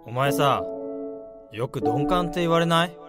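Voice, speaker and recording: A man's voice: fairly high pitch, animated, a little slow, over loud music. A man playing a candid, slightly needling male coworker in a radio drama. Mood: teasing. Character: frank, teasing male coworker and rival in love.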